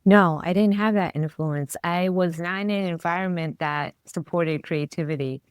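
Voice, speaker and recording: Low-voiced animated woman from London. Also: moderate pace, smooth, no room sound. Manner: animated, laughing, many restarts. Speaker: venue founder, guest. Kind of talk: casual interview chat.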